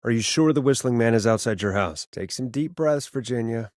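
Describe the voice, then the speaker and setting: A man's voice: medium pitch, expressive, fairly quick, a little mellow, dry recording, studio quality, natural voice. A man voicing a harried late-night radio host in a video game, wry but caring, with a sardonic yet reassuring conversational delivery. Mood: calm, reassuring.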